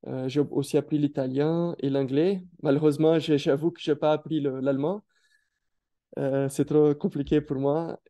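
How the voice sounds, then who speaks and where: Man, thirties, very mellow dark tone, slightly high pitch, halting with many fillers, video call. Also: steady tone, lo-fi sound. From Brazil. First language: Portuguese. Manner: hesitant, many fillers and restarts. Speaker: guest speaker. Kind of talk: career testimony on a webinar.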